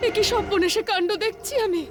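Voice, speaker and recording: A woman's voice: very high voice, fairly quick, music in the background. A woman playing a frightened, fussing village mother-in-law in a horror story, exclaiming melodramatically. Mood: horrified. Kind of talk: dramatized horror story acting.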